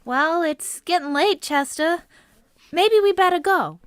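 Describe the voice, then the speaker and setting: An actress playing a sensible young woman who frets and gently pleads with her date, in vintage radio comedy style. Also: very high voice, a little slow, dry recording, studio quality.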